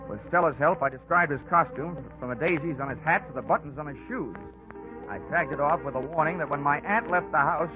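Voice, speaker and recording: Casting: male actor as wry, tough radio detective, slightly high voice, sardonic wisecracks, vintage 1940s broadcast sound. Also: lightly acted. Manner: wry, hard-boiled, sardonic. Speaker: lead investigator and narrator. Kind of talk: 1940s radio detective drama acting and narration. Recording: tinny 1940s radio recording.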